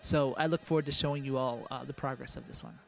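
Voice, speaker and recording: A man giving a live product presentation as a game producer, speaking in a relaxed way full of "uh"s. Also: quick pace, creaky, over a noisy crowd. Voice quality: calls his own voice high. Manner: relaxed, filler-heavy speech with many "uh"s.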